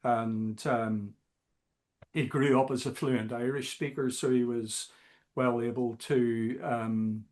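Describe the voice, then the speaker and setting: A man talking as a guest musician in a casual interview, halting often with fillers. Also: mid-range voice, fairly even intonation, a little mellow. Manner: slow, halting, many fillers.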